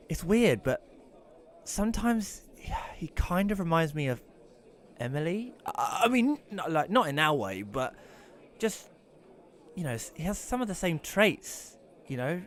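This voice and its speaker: A man as an awkward widower telling his story. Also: a little high. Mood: hesitant. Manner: informal, conversational storytelling. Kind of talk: first-person audio drama narration and dialogue.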